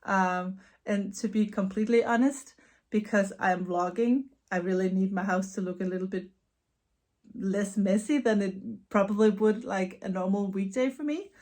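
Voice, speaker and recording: A Danish woman with a Danish accent, answering viewer questions in a casual knitting vlog at home, chatty with soft laughs and repeated words. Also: medium pitch, moderate pace. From Denmark. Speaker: knitting vlogger and yarn dyer. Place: her home.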